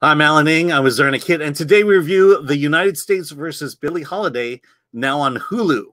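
A man's voice: on the high side, fairly quick, a bit bright, soft background music. A middle-aged man hosting a casual film review over a video call, talking conversationally and repeating words as he thinks. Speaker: host, film site editor. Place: home room.

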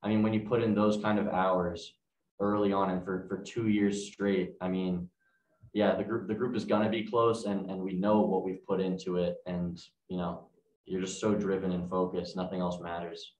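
Young man, student athlete, deep voice, press conference answer, roomy echo. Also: little pitch movement, moderate pace, a little mellow. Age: young adult. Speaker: college soccer team captain. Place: press conference room, indoors at a table. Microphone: distant camera mic, face mask on. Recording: echoey room, distant microphone.

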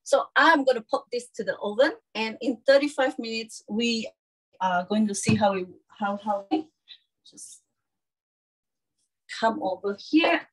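Woman in her 30s doing a live cooking show sales pitch at home, highish voice, keeps checking in with okay. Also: measured pace, pauses often, poor audio. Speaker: cooking demonstrator and presenter. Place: small home kitchen, indoors.

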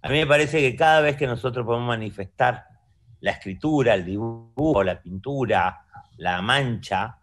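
A man's voice: mid-range voice, moderate pace. A man in his fifties from Argentina with an Argentine Spanish accent, speaking reflectively as an artist guest in a conversational interview, often checking in with the listener. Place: room at home. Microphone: video call webcam.

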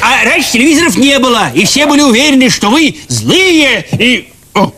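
A man's voice: very high voice, sing-song, moderate pace, a loud crowd. An actor playing a bossy old fairy-tale king, pompously declaiming and explaining in a children's TV show. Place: indoor TV studio set.

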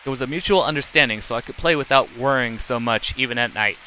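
Man, middle-aged, bright forceful voice, medium pitch, plain read-aloud narration, casual streamer, faint rain noise behind. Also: fast talker, poor audio. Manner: plain, unacted reading with occasional stumbles. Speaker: live streamer. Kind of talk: reading visual novel text aloud with casual asides.

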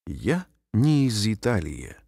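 A man reading short phrases aloud slowly and carefully, each one repeated, for language learners. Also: fairly low pitch, wildly swooping pitch, darkish tone, no echo. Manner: careful, clearly spaced phrases. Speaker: language teacher. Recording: dry studio voice-over.

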